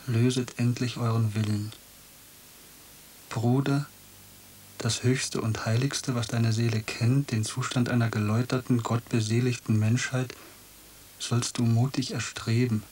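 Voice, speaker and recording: A man's voice: mid-range voice, level tone, a little slow, a little mellow, slight background noise, close to an everyday voice, poor audio. An actor playing a fervent young male student, declaiming a passionate appeal in a radio drama. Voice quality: impassioned, declamatory.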